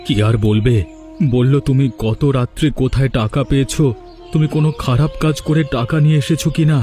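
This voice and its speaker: A man's voice: mid-range voice, fairly even intonation, fairly quick, warm tone, faint music behind, close to an everyday voice. A man playing an earnest, protective married son in a melodramatic village cartoon, with a pleading, reproachful manner. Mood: gloomy, apologetic. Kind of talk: melodramatic cartoon family comedy acting.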